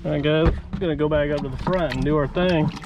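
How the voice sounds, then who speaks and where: A man in his thirties hosting a casual fishing vlog from an open boat, coaching his friends and shouting encouragement, caught on an action camera. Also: a little high, steady tone, fast talker, muffled recording. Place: open boat on the bay outdoors. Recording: outdoor wind and water noise. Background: boat and water noise.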